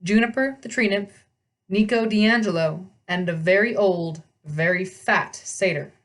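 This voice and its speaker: Female storyteller, medium-pitched, expressive first-person storybook narration. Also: a little slow. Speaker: read-aloud storyteller.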